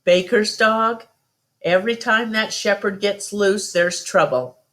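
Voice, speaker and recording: A woman's voice: medium pitch, a little slow, fairly bright tone, voice pushed up, natural voice. A woman storyteller voicing a kind-hearted, plainspoken older male country doctor. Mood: concerned.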